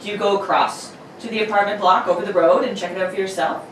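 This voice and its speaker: A woman's voice: medium pitch, steady tone, fast. A woman hosting a show, playful, moving between casual chat and read-out introductions. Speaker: host. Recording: very echoey noisy room, distant mic.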